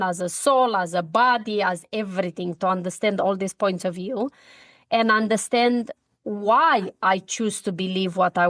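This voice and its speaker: A middle-aged woman with a Romanian accent speaks in an earnest, heartfelt way. She breaks off and restarts as she talks, and her voice sits at a middle pitch. The recording is dry and close, with no room sound. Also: dry recording. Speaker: guest, speaker and coach. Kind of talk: personal story in a podcast interview.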